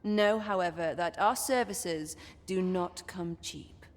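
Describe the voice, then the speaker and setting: A woman's voice: on the low side, takes it slowly. An actress voicing a sly, flirtatious lady of a pleasure house in a fantasy video game, teasing and haughty, with archaic formal phrasing. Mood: seductive, businesslike.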